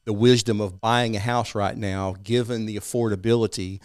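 A man's voice: lowish voice, steady tone, moderate pace, clear, resonant tone, dry recording, clean studio sound. A man from East Texas with an East Texas accent, a guest talking casually in an interview and often checking in with "right?".

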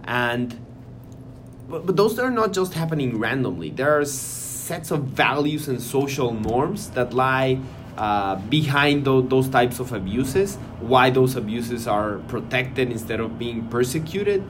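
A man's voice: medium pitch, lively intonation, unhurried. A man in a casual academic conversation, speaking hesitantly, repeating words and using many fillers. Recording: noisy background hiss.

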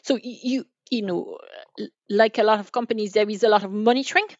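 A woman speaking as a gives conversational answers as an interview guest on business matters. She talks haltingly, circling back over words as she thinks. The sound is dry and somewhat dull, like a band-limited online call. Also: mid-range voice, no room sound. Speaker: podcast guest, enterprise sales manager.

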